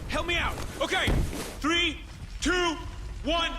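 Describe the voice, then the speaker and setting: An actor playing an urgent young man fleeing disaster in an action game, shouting with strain. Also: steady tone, slow pace. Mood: urgent, panicked. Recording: loud action music and rumble behind.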